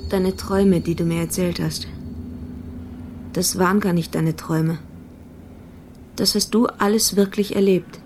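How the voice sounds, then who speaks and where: An actress playing an uneasy woman drawn into a detective's nightmare in a radio play, speaking in halting fragments. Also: on the low side, fairly even intonation, darkish tone, slight background noise, close to an everyday voice. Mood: probing, tense. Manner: halting, short fragmented phrases.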